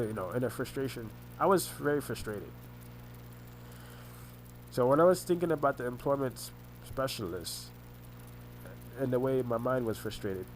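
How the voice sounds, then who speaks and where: Halting, congested man. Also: medium pitch, a little hiss or hum, poor audio. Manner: halting, restarts and repeats words often. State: congested, sore throat. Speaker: vlogger recounting family conflicts. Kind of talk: rambling personal monologue over a screen recording.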